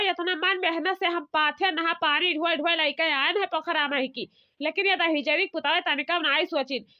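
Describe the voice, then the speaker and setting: A woman's voice: very high-pitched, muffled recording. A woman voicing a bossy, aggrieved village matriarch who scolds at length in an Awadhi accent, in a comedy cartoon. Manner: indignant, long-winded scolding.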